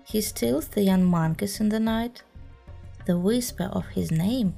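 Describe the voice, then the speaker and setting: A woman's voice: medium pitch, a little slow, gravelly, dark tone, soft background music. A woman voicing a grieving, fretful old male bear who laments and pants as he speaks. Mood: earnest. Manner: lamenting, panting.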